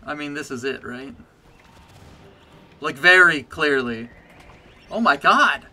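A man with a bass voice streaming a game, chatting casually and getting excited or pleading with the game as he plays. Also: slow pace. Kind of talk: casual gameplay commentary. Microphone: desk mic with webcam.

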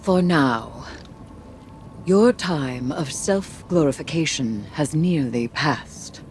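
An actress voicing a brooding, vengeful occult sorceress in a video game, solemn, formal and defiant. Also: female voice, deep voice, expressive, takes it slowly, darkish tone, slight background noise. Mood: haughty, menacing. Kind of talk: theatrical video game dialogue. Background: music.

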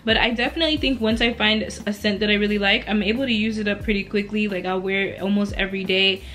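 A woman in her early twenties chatting casually through a Q&A vlog while lying back on her bed at home, relaxed and laughing often between answers. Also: mid-range voice, fast talker, a little hiss or hum. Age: 20s. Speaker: beauty and lifestyle YouTuber.

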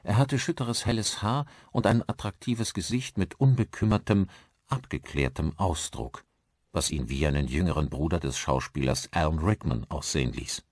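Male narrator for horror audiobook. Low voice, dry close sound, scripted reading. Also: normal speed, darkish tone, husky, dry recording.

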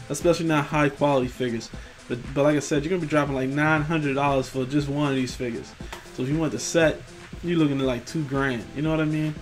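A young man rambling casually about collectible figures, profane and tired after work, tripping over names. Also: a little high. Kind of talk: casual commentary over screen images. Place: home room.

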